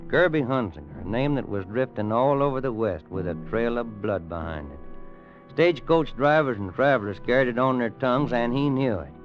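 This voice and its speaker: An actor playing a plain-spoken, kindly rancher in a radio western, folksy, with an American Western drawl. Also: male voice, mid-range voice, expressive, fairly quick, close to an everyday voice. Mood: somber storytelling. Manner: folksy, plain, hesitant at times. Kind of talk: old-time radio western narration and acting. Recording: dull vintage radio recording with music.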